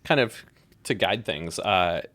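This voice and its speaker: A woman's voice: a bass voice, lively intonation, slow pace, a bit bright, no echo. A woman hosting a casual live stream, bubbly and rambling, laughing often. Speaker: podcast host.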